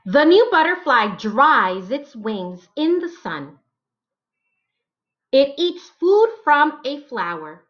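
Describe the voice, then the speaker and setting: Woman, 30s, teacher read-aloud: high, animated voice, deliberate phrasing, slightly muffled lo-fi home recording. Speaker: teacher reading picture books aloud. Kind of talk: slow, expressive read-aloud for children.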